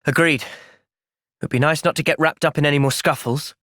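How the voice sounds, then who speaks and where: A man voicing a thoughtful, loyal young hero in a video game, with an earnest, measured delivery. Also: on the high side, fairly even intonation, a bit bright, no room sound, clean studio sound, natural voice. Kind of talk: video game cutscene voice acting.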